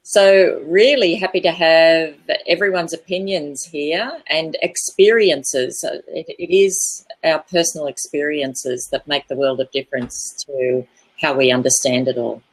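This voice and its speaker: An Australian woman hosting an informal online discussion, speaking in measured, tentative phrases. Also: sits low, steady tone, rough recording. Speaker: host.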